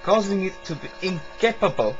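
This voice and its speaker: A man's voice: high-pitched, lively intonation, moderate pace, slight background noise. A man playing a stern, menacing army sergeant with a pointed delivery in an amateur audio drama. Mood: coldly threatening. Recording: dull lo-fi homemade sound.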